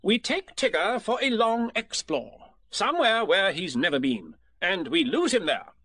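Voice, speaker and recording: A man's voice: sing-song, bright, forceful tone, no echo, clean studio sound, exaggerated voice. A man reading a storybook aloud, voicing a fussy, self-important male rabbit. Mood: bossy. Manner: brisk, self-important, high-pitched.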